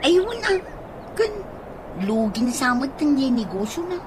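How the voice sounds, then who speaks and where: A woman's voice: high-pitched, very animated, unhurried, background noise. A woman with an Ilocano accent playing a perky, inquisitive young neighbor in a radio comedy drama. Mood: chatty, curious.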